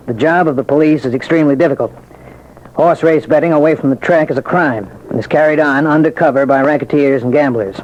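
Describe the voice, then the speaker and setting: A man delivering formal, measured scripted narration as a police commissioner on an old-time radio show. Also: fairly high pitch, darkish tone. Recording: dull, hissy vintage radio recording.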